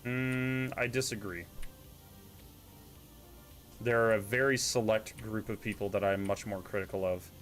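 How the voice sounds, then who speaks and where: A man with a stuffy, congested tone that leans nasal, rambling casually as he plays. His talk is loose and self-deprecating, with a soft bed of music behind him. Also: fairly low pitch, fairly even intonation, a little slow, long pauses. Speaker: streamer. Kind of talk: casual live-stream chat while gaming.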